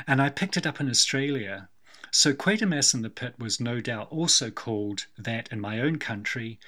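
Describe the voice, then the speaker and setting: A man with a New Zealand accent, co-hosting a film podcast in a wry, measured, slightly theatrical way. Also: mid-range voice, bright, forceful tone. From Scotland. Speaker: podcast co-host. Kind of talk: casual film discussion.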